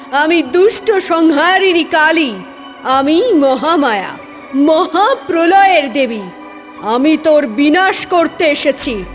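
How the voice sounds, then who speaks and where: A woman voicing a mighty, wrathful and protective mother goddess in a devotional cartoon, solemn and declamatory. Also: sits high. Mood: proud, defiant. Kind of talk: devotional horror cartoon voice acting.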